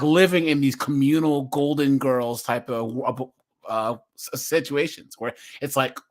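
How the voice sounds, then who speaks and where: An American man arguing his points emphatically in a casual live online group discussion. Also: mid-range voice. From United States. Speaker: live stream participant.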